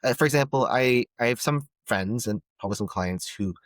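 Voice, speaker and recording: A man from Palestine, a guest on a podcast, speaking thoughtfully and pausing often to search for words. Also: mid-range voice, no echo. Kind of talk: reflective conversation.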